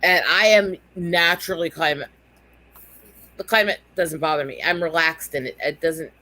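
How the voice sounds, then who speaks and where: Emphatic American woman with a lowish voice. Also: moderate pace, bright, forceful tone. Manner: emphatic, freely swearing. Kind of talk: casual chat.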